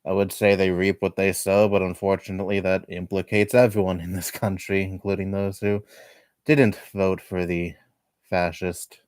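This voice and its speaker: Guy in his 20s, low voice, rambly chat stream, laughs a bit, pretty dry sound. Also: moderate pace, clear, resonant tone, dry recording. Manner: relaxed, rambling, laughs softly between thoughts. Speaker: livestreamer reading chat. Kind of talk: casual chat. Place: home.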